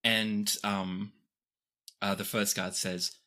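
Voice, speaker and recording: A game master narrating a tabletop roleplaying game off the cuff, describing scenes expressively with pauses. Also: low-pitched, level tone, pushed and bright.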